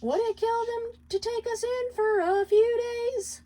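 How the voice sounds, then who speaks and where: A woman plays an imp husband at the end of his tether in a storybook drama. His voice is pushed up high, argumentative and pleading, full of exasperation. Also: fairly even intonation, unhurried, warm tone. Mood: pleading, bitter singing. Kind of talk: dramatic storybook character reading.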